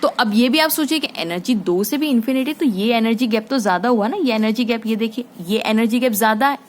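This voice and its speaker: A woman's voice: a little high, brisk. A woman teacher explaining a physics problem step by step, often asking her students checking questions and mixing in English terms.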